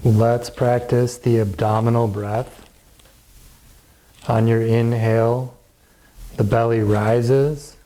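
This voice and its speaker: Slow, mellow male yoga teacher. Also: medium pitch, poor audio. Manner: gentle, calm, measured guiding with pauses. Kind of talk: guided yoga and relaxation instruction.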